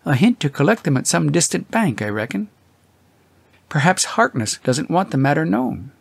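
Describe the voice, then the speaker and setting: A man's voice: fairly high pitch, sing-song, normal speed, vocal fry, slightly rough, no echo, pitched above the performer's own voice, heavily acted. A man voicing an anxious, weary older husband in an audiobook, fretful and easily shaken. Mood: cautious. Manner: agitated, exclaiming, muttering.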